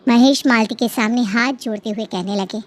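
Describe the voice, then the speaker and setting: A woman's voice: fairly high pitch, moderate pace. A woman narrator reading a scripted family story.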